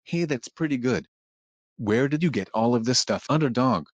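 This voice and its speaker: A man plays a swinging singer lamppost from a homemade cartoon. The voice sits at a medium pitch and has a laid-back, complaining delivery. The recording is dry. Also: animated, normal speed, dry recording, natural voice. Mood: downcast. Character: swinging crooner Christmas lamppost with a sore throat.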